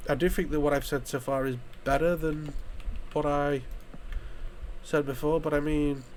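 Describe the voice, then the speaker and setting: A young British man talks loosely over his gameplay, his voice a little hoarse after an illness and sitting somewhat high. He rambles, restarts sentences and swears casually. A faint hiss runs under the recording. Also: fairly even intonation, moderate pace, darkish tone. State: hoarse from a chest infection. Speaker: gaming YouTuber. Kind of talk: casual commentary while playing a game.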